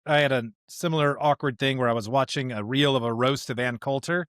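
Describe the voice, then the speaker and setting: A man's voice: mid-range voice, steady tone, fairly quick, a bit bright, dry recording, clean studio sound. A man co-hosting a podcast with conversational theological commentary, stressing his points with asides and rhetorical questions. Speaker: podcast host.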